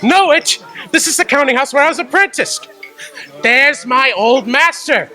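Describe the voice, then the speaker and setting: An actor playing an old miser who is by turns remorseful and delighted, halting and wavering in radio drama acting. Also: male voice, extremely high pitch, expressive, pushed and bright, soft background music, heavily acted. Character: old miser man, remorseful, reliving his youth.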